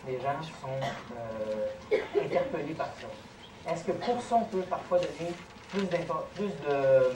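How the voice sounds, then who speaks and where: A man with a Québécois accent, answering audience questions in a measured, explanatory way as a guest speaker at a lecture. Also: a little high, animated. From Quebec. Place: college lecture room. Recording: echoey, noisy college room, distant mic.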